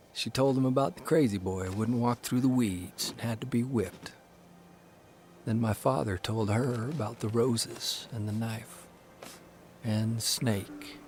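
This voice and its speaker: A man's voice: medium pitch, a little hiss or hum, natural voice. An actor playing a grown man who tells, in a reflective, storytelling way, of his strict mother in a radio drama. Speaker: narrator and lead character.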